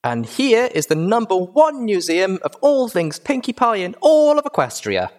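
A man voicing a bubbly, excitable young female pony tour guide in an animated storybook reading, gushing and theatrical. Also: high voice, moderate pace, pitched above the performer's own voice, exaggerated voice. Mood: giddy, excited. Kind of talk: animated audiobook character voice.

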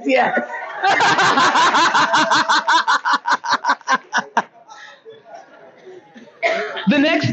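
A woman's voice: on the high side, very noisy. Frank, laughing woman telling a story. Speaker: podcast host giving a keynote speech. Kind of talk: storytelling speech.

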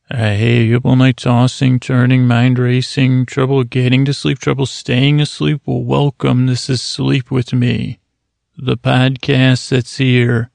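A man hosting a sleep podcast, rambling in a meandering, soft-spoken bedtime monologue that keeps interrupting itself. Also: mid-range voice, creaky, no echo.

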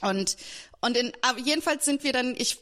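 A woman from Germany with a slightly high voice speaks in a personal podcast conversation. She is candid and informal, circling back and restarting as she puts her thoughts together. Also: brisk, a bit bright. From Berlin and Hesse, Germany.

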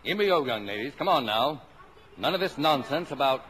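A man's voice: mid-range voice, animated, brisk, a bit bright, lightly acted. An actor playing a strict, old-fashioned, sarcastic father of a big family in a 1950s radio drama. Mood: disapproving. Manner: sarcastic, indignant, emphatic. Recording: noisy old radio broadcast.

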